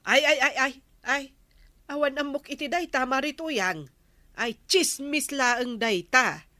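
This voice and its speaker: An actress playing a chiding village neighbour, full of exclamations, with an Ilocano accent in a radio drama. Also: female voice, high voice, a little slow, pushed and bright, no room sound. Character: village woman neighbour disapproving of gossip. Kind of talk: radio comedy drama acting.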